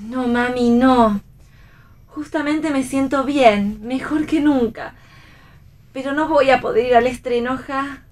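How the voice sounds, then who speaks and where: An actress playing a stubborn pregnant young wife in read radio theatre, firm and insistent. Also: female voice, high voice, slow, darkish tone. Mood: brushing off pain.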